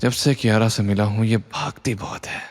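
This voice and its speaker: A man playing a calm, confident, quietly stubborn rustic young man in an audio drama, unhurried and wry. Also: fairly low pitch, soft background music, close to an everyday voice. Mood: unbothered. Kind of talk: Hindi audio drama acting.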